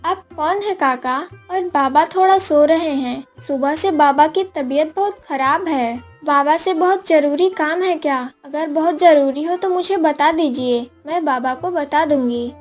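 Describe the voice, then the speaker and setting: महिला की आवाज़ में ऊँचे सुर वाली विनम्र गाँव की बेटी. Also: female voice, high voice, measured pace, few pauses, faint music behind. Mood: earnest, caring. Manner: polite, earnest. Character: dutiful, polite young village daughter of poor woodcutter. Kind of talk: cartoon moral story acting.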